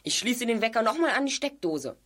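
An animated boy detective. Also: very high voice, fairly quick, exaggerated voice. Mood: eager, mysterious. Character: teenage boy detective, eager and a bit self-important. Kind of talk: German radio play dialogue.

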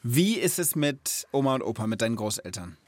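A man's voice: medium pitch, a little fast. A man hosting a children's explainer show, talking in a playful, eager way and asking lots of questions. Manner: animated, joking, asks eager questions. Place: Munich.

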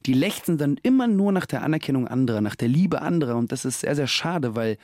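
A man's voice: mid-range voice, expressive, fast talker, warm tone, dry recording, studio quality. A man in his late twenties from Russia, a guest talking casually and reflectively, laughing often and using many fillers. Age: late 20s. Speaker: guest, actor and musician. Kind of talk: casual interview talk.

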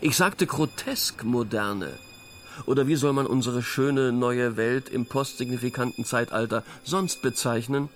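A man's voice: medium pitch, measured pace, close to an everyday voice. An actor plays an eloquent, ironic man of ideas in a radio play. His mid-range voice lectures with a touch of pomposity, over faint music. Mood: wry, lecturing. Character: wry male intellectual theorising about modern absurdity. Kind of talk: conversational radio play dialogue.

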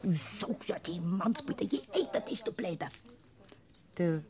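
An actress playing an older gossiping Bavarian peasant aunt, earthy and scolding, in a classic radio play. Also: female voice, lowish voice, lively intonation, a little slow, a little mellow, soft background music.

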